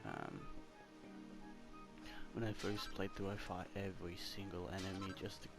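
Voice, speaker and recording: A man giving casual, low-key ASMR game walkthrough commentary in a rambling, hesitant way. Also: sing-song, a little slow, vocal fry. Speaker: gaming ASMR creator. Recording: loud game music behind voice. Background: video game music and sounds.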